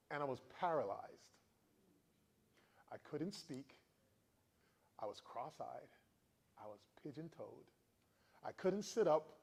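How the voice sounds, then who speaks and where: A man in his forties from the Virgin Islands, giving a motivational talk to students with animated storytelling and questions for the crowd. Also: mid-range voice, vocal fry, husky. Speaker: motivational speaker.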